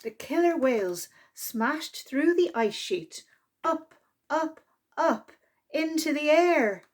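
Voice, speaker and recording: A woman reading a picture book aloud to young children in an animated, expressive storytime manner. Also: high voice, takes it slowly. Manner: expressive, with exclaimed sound effects and asides to listeners. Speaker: library storytime reader.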